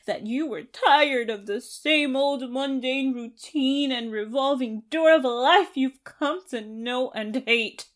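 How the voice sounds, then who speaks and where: A woman playing an unhinged, possessive young captor in an ASMR roleplay, gloating and obsessive, with a deliberate, theatrical delivery. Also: high-pitched. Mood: gloating, sweet. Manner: deliberate, theatrical, broken by giggles.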